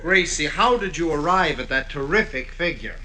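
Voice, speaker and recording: An actor playing a dry, sardonic, incredulous psychoanalyst in an old-time radio comedy. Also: male voice, fairly high pitch, lively intonation, moderate pace, close to an everyday voice. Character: sardonic psychoanalyst, dryly incredulous with his patient. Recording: very noisy vintage radio recording.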